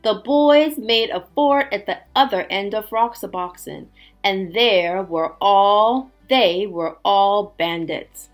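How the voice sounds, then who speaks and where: Female teacher for picture-book read-aloud: slow, expressive delivery, mid-range pitch, faint music underneath. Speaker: teacher reading a storybook aloud. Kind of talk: children's read-aloud with chatty asides.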